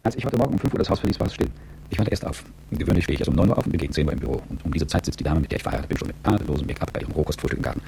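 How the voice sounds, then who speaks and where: An actor playing a bored, cynical, wealthy middle-aged manager in a radio drama monologue, dry and sardonic, with a dark gravelly voice. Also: male voice, a bass voice, animated, rapid-fire, a little hiss or hum, exaggerated voice, poor audio. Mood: detached, sardonic. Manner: dry, sardonic, world-weary.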